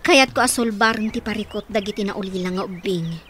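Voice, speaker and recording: A woman's voice: medium pitch, a little fast, fairly bright tone, soft background music, close to an everyday voice. An actress playing a concerned, helpful woman in an Ilocano radio drama, speaking in an earnest, conversational way. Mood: earnest appeal. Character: concerned helpful woman taking in orphaned children.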